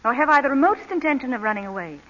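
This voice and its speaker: High-voiced woman as a witty, poised adventuress, bright and crisp delivery, dull vintage radio sound. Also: animated, racing, a little hiss or hum. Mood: resigned, composed. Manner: poised, dry, theatrical. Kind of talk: 1930s-40s radio drama acting.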